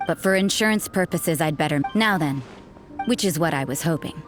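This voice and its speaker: A cool, aloof game nun acted by a woman with a low voice. The delivery is dry and deliberate, cynical and scolding, over faint background music. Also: lightly acted. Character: stern, cynical, suspicious young nun, coolly aloof. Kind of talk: video game character voice acting.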